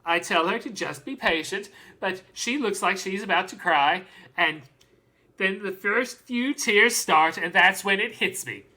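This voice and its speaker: Lady doing a doting dad monologue, slow n dramatic w big pauses, real bright punchy voice. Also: medium pitch. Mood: fond, earnest. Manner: animated storytelling, dramatic pauses, drawn-out emphasis. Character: doting, excitable parent of a small girl, called Daddy.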